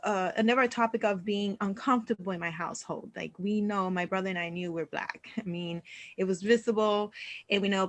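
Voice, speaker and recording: Woman with a mid-range voice. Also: medium pitch. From Puerto Rico. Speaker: panelist. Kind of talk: candid personal talk on a panel.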